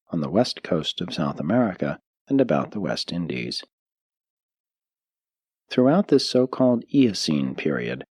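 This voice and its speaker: A man reading a book aloud as an audiobook narrator, in a measured, steady way with pauses between listed items. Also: deep voice, husky, no room sound.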